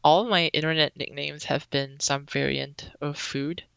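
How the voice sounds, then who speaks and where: A young woman from Singapore chats as a podcast guest, relaxed and rambling with plenty of ums. Her voice is bright and crisp with a gravelly edge. The recording is dry and a little dull, like a lo-fi remote call. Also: sits low, normal speed, dry recording. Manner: relaxed, chatty, many ums. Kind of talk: casual interview chat.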